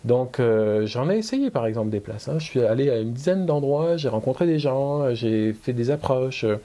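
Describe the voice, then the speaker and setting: A man's voice: medium pitch, animated, moderate pace, warm tone. A middle-aged man from France with a French accent touched by Québécois, talking as an interview guest with many fillers and restarts. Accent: French, slightly Québécois-tinged. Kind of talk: conversational interview.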